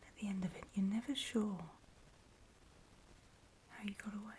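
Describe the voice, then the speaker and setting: A woman playing a grave, weary apocalypse survivor in a horror ASMR roleplay, speaking in a soft, breathy, hesitant whisper. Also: medium pitch, steady tone, moderate pace, slight background noise, natural voice. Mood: weary, ominous. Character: grave, weary female apocalypse survivor briefing others on zombies.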